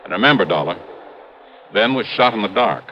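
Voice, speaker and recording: An American actor playing an earnest, troubled, middle-aged wealthy mine owner in a 1950s radio drama, explaining things emphatically. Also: male voice, fairly low pitch, animated, a little fast, close to an everyday voice. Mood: matter-of-fact, explanatory. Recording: muffled old radio recording, faint hiss.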